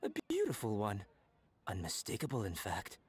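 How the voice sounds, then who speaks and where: A sly, smug game character with a fairly low voice. He speaks in measured, pointed phrases, with faint noise behind. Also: huge pitch swings. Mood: needling. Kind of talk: video game voice acting.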